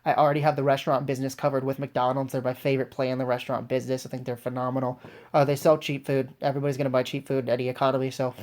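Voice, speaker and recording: A woman's voice: very deep voice, little pitch movement, rapid-fire, a little mellow. A woman with a cold gives casual, enthusiastic vlog commentary as the host of an investing channel. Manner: enthusiastic, informal. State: congested with a cold.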